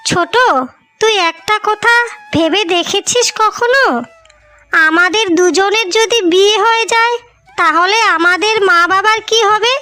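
A woman's voice: very high voice, unhurried, bright, forceful tone, exaggerated voice. A woman voicing a caring, worried elder sister in a cartoon fairy tale, tender and coaxing. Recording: music bed behind the voice.

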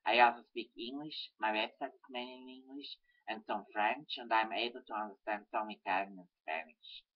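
A young man with a Swiss German accent earnestly reading a letter aloud into his webcam in a small room at home. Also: mid-range voice. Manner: earnest, careful, slightly stilted reading. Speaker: webcam video message sender. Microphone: webcam built-in mic. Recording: dull lo-fi webcam audio.

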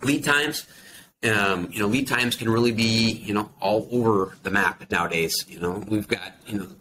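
A man's voice: fairly low pitch, normal speed, sharp tone, low-quality recording. A man in his forties with an American accent, explaining things conversationally as an industry guest on a video call, piecing his thoughts together. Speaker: industry expert guest, account manager. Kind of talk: casual explanatory interview talk. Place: small plain indoor office. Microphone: video call computer microphone. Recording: clear background noise on video call.

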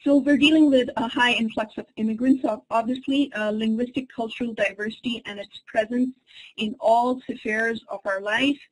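A woman whose first language is Urdu, an associate professor, presenting a webinar lecture in a measured, explanatory way over a computer microphone. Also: fairly high pitch, steady tone, rough recording. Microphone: computer microphone in an online webinar.

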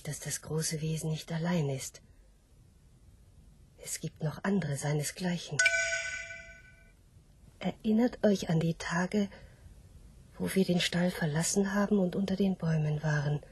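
A woman voicing a hopeful, philosophical sow in a literary radio play, speaking in a measured, breathy, husky voice. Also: low-pitched, fairly even intonation, darkish tone, a little hiss or hum. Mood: hopeful, pondering. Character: hopeful philosophical sow awaiting her disappearance. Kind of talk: literary radio drama acting.

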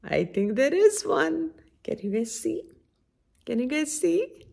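A middle-aged woman hosts a children's story time with a very lively, swooping delivery. She takes her time, in a fairly high voice, and keeps turning to the young listeners with questions as she reads. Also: slow, gravelly. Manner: expressive, questioning, addressing children directly. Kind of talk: read-aloud picture book storytime for young children. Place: indoor room at home by a window.

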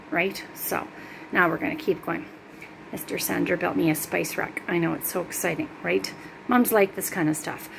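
Middle aged teacher lady chatting to her class on a phone vid, kinda gravelly, bit of faint noise in the house. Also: fairly low pitch, low-quality recording. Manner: chatty, upbeat, talks directly to viewers. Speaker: music teacher. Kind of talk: casual home tour vlog to students. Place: small home rooms, indoors. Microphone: phone camera held by hand.

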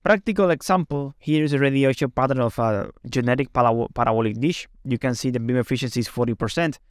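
A man presents a product webinar in a medium-pitched voice. He explains step by step and often tags his sentences with a checking question. The sound is dry and close to the mic. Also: quick pace, smooth, dry recording. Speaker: company presenter.

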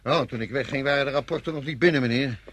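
A husky-voiced soldier in a war radio play. He reports to his superior formally and a little hesitantly, with deference, in a middling pitch. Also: fast talker, fairly bright tone. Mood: dutiful reporting. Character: male army subordinate reporting to his superior. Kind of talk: radio drama acting.

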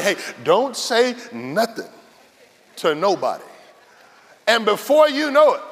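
A man in his thirties preaching an animated, fervent sermon as a pastor, repeating phrases rhythmically for emphasis. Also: high voice. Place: large church auditorium stage. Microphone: handheld microphone. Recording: noisy large hall with long reverb.

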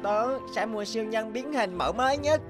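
A woman voicing an earnest, easily indignant schoolboy in a cartoon, expressive and plaintive. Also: fairly high pitch, normal speed, close to an everyday voice. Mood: curious, friendly. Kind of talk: animated cartoon dialogue. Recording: background music under dialogue.

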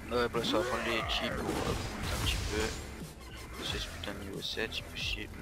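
A man commenting casually on his own game, excitable, swearing often and repeating himself. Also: lowish voice, moderate pace. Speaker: gamer and video maker. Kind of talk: casual live gameplay commentary. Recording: voice over loud game music.

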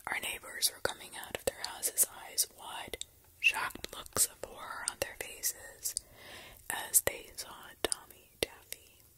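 Woman whispering a horror story. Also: slow pace. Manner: steady, hushed, unvoiced whisper.

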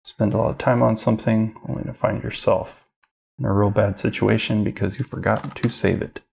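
Brief: gravelly, low-voiced man in his 30s, relaxed art teacher talking through a live demo. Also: a little fast. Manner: casual, rambling, thinks aloud while working. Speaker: art instructor. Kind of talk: live drawing demo narration. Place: home studio office.

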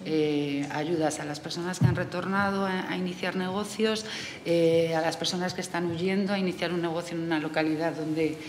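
A middle-aged woman speaking on a conference panel about aid work, hesitant and pausing often. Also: fairly low pitch, steady tone, darkish tone. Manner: hesitant, many fillers and restarts. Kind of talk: panel discussion. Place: conference hall, indoors. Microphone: desk microphone. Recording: reverberant hall, faint room noise.

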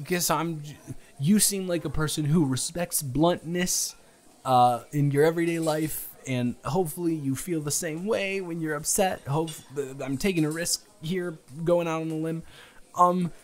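Earnest, stammering young man in a roleplay. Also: on the high side. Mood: flustered, insistent. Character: earnest, reassuring young man confessing feelings on a date. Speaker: audio roleplay performer. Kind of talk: improvised intimate romantic roleplay monologue.

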